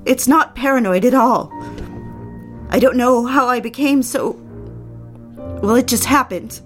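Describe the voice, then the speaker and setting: An actress playing a fragile, jealous, lonely young wife in a period audio drama, pleading, hesitant and anxious. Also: female voice, fairly high pitch, measured pace, faint music behind, close to an everyday voice. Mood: anxious, defensive.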